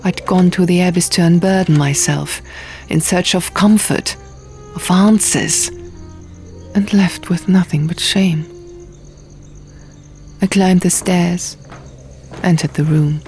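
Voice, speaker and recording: A woman narrating fiction as a scripted, dramatic audiobook, hushed and often near a whisper. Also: lowish voice, fairly even intonation, slow pace, long pauses. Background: soft music.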